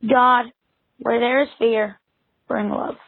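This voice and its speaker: Over a thin phone-like recording, a woman leads a prayer in a high-pitched but husky voice. She speaks in short, deliberate phrases and leaves space between them. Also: steady tone, no echo. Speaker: congregation member leading a responsive prayer. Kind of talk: spoken prayer with pauses for response. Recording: narrow phone-like sound, dry. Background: soft guitar music.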